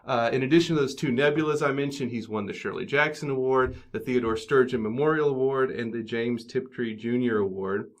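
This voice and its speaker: An American man with a wry, dryly joking manner, hosting a podcast round table and introducing guests. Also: mid-range voice. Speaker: podcast host.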